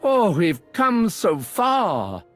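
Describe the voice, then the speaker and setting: An eager, fussy archaeologist with a very animated, swooping voice. He is precise, bookish and excitable, a video game scholar heard over music. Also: sits high, extremely slow, heavily acted. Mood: curious, eager. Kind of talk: scripted game voice acting. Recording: music behind the voice.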